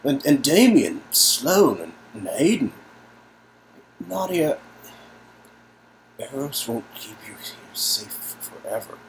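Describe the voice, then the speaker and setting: A man playing a bewildered, concerned young hero in a game reading, earnest, halting and stammering. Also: medium pitch, very animated, slight background noise, voice pushed up, low-quality recording. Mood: worried, earnest.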